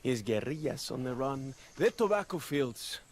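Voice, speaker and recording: A man plays an eager young officer in a game. His delivery is animated and swinging, over loud background noise. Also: mid-range voice, moderate pace, lightly acted. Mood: boastful. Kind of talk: video game cutscene acting.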